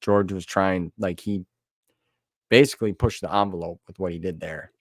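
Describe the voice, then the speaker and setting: Middle-aged American male host with a deep voice, plain-spoken and conversational, recorded very dry. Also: fairly even intonation, moderate pace, no room sound. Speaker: podcast host. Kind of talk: casual chat.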